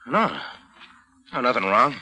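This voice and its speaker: An actor playing a tense, evasive crook of a husband, curt and defensive, in an old-time radio crime drama. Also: male voice, mid-range voice, sing-song, measured pace, pushed and bright, exaggerated voice. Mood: falsely casual, evasive.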